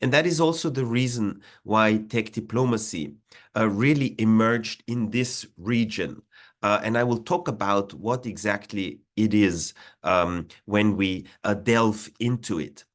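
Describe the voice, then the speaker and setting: A man's voice: on the low side, measured pace. Man, Austrian accent, German native, webinar presenter, hesitant, video call audio. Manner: hesitant, many "uh" fillers. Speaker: diplomat giving a webinar talk.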